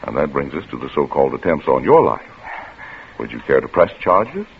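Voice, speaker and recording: Deep-voiced, patient police chief. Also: male voice, sing-song, gravelly, heavily acted. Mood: skeptical, firm. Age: 30s. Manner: measured, reasoning, firm. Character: patient, skeptical police chief in his mid-thirties. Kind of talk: 1940s radio drama acting. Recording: muffled, noisy old radio recording.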